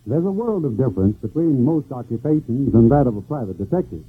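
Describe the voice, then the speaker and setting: A man's voice: medium pitch, expressive, quick pace, husky. A man reading a 1940s radio promo with a polished, emphatic announcer delivery, on a thin, phone-like old broadcast.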